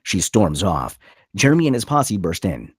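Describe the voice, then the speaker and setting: A man's voice: low-pitched, very animated, normal speed, slightly rough, no room sound, clean studio sound. A man reading scene directions from a screenplay as scripted narration. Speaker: stage directions reader.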